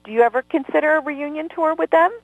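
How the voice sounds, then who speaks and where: A woman's voice: high-pitched, fast talker, no echo. Woman radio host gushing over her guest, tinny phone-line sound, dry. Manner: effusive, gushing praise. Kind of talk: live radio interview.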